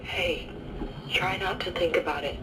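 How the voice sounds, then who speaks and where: A woman's voice: on the low side, steady tone, measured pace, distant mic, heavy background noise, natural voice. An actress playing a weary, resigned female station survivor in a dramatic video game. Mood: consoling.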